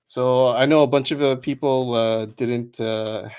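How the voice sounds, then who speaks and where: A man hosting a trading card break stream, chatting casually with many fillers and quick laughs, and reading out a long list of names. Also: medium pitch, steady tone, a little mellow, clean tone. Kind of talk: casual livestream chat.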